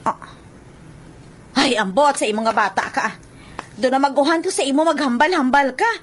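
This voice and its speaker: A woman's voice: high-pitched, normal speed, close to an everyday voice. A woman acting in a radio drama as a fussing, chatty village mother worried about her son. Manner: chatty, fretful, hesitating.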